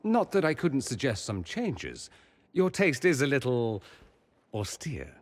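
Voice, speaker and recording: Casting: a witty aristocratic mage flirt, teasing and theatrical, slightly husky with swooping intonation, for a fantasy game. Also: male voice, mid-range voice, huge pitch swings, unhurried. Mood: playful. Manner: arch, theatrical, teasing delivery. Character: suave, witty, flirtatious adult male mage nobleman. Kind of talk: video game cutscene acting.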